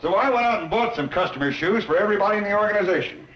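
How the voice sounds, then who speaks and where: An actor playing a calm, persuasive dealership manager in a vintage training film. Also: male voice, sits high, rapid-fire, poor audio. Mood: instructive. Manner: reasonable, persuasive, instructive. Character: earnest middle-aged male dealership manager. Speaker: dealership boss. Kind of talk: 1950s training film acting.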